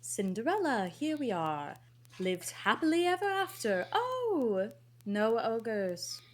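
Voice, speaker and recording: A woman's voice: on the high side, very animated, slow pace, full character voice. A woman playing a haughty fairy godmother in a comic table read, with a theatrical, mockingly sweet delivery. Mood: mocking, exasperated. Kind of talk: comic table read of an animated film script.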